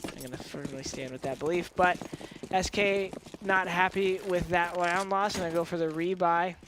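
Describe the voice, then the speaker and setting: A man with a high-pitched, slightly nasal voice, commentating a game with chatty, analytical remarks. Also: fairly even intonation, normal speed, background noise. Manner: analytical, chatty, joking asides. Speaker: esports commentator.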